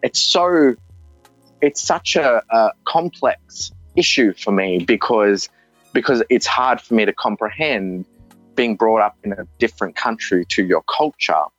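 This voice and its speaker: A man's voice: fairly low pitch, lively intonation, fairly bright tone, dry recording. A man in his thirties with an Australian accent, giving an earnest personal testimony, often restarting his sentences. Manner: halting, earnest, with frequent restarts. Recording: dull, dry recording with faint music.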